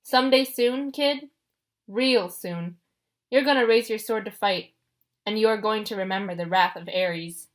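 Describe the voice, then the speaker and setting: A woman voicing a bullying male god of war in a storybook reading, gruff and taunting. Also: mid-range voice, unhurried, natural voice. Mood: menacing.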